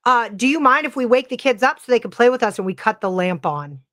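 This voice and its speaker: A middle-aged woman hosting a podcast, talking in a chatty, animated way with lots of filler words. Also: fairly high pitch, fast talker, no echo, studio quality. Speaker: podcast host. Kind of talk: casual paranormal chat.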